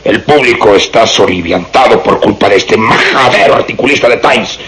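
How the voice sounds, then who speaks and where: An actor playing a pompous, harried police inspector in a melodramatic radio serial, with declamatory delivery. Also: male voice, on the low side, moderate pace, a bit bright, lightly acted. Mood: exasperated. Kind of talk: melodramatic Spanish-language radio serial acting. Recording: noisy radio serial recording.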